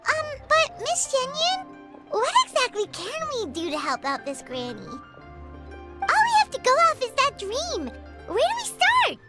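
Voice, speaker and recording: An actress voicing Paimon, a small, excitable fairy companion who talks about herself in the third person, in a video game. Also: female voice, unhurried, full character voice. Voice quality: high, squeaky. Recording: soft music behind.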